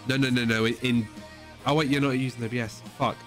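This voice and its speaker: A man hosts a casual livestream podcast with music behind him. He sounds stuffed up with a head cold and sore throat. He explains things at length in a mid-pitched voice, hammering his points and swearing freely. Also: steady tone, quick pace, fairly bright tone. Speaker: podcast host.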